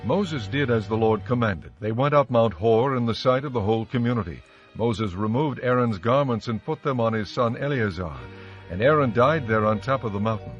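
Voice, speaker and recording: A man of medium pitch narrates scripture with solemn, measured delivery. His voice is slightly dull in the recording, with music behind it. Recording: slightly muffled studio track with music.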